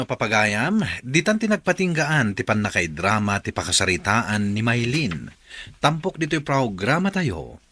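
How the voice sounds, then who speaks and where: Male Filipino radio drama host, bright forceful voice, animated delivery, scripted closing announcements. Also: medium pitch, breathy edge, no room sound. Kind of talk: scripted radio narration and advice.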